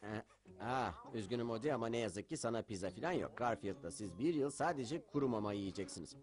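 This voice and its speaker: A man's voice: fairly low pitch, expressive, a little fast, lightly acted. Fussy cartoon pet owner acted by a man. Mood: skeptical. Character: skeptical, easily panicked cartoon pet owner, adult man. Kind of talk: cartoon dub acting. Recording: music behind the voice.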